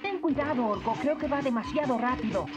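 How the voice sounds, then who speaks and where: A woman's voice: high-pitched, brisk, loud music behind the voice, lightly acted. A woman with a Latin American accent voicing a dutiful, anxious young boy apprentice in a cartoon dub. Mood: earnest. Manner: earnest, anxious. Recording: muffled old cartoon dub.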